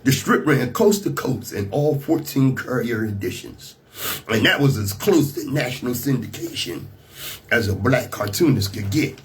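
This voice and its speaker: A man with an American accent and a slightly rough voice, hosting a radio talk show with emphatic, rhetorical commentary. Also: mid-range voice, lively intonation, moderate pace, a little hiss or hum. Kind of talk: radio monologue mixing scripted commentary and casual asides.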